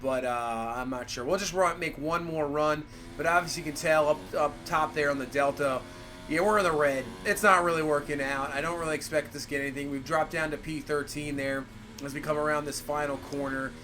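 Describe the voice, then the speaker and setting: A man's voice: on the high side, steady tone, brisk, pushed and bright, a little hiss or hum. A man with a cold, rambling through casual gameplay commentary and leaning on fillers like "obviously" and "for sure". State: has a cold. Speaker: gaming channel host.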